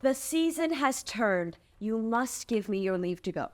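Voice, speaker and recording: Woman playing a high-voiced, headstrong young princess. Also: expressive, a little slow, a little mellow. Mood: frustrated. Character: headstrong, pleading young princess defying her father. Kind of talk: table read of fantasy screenplay.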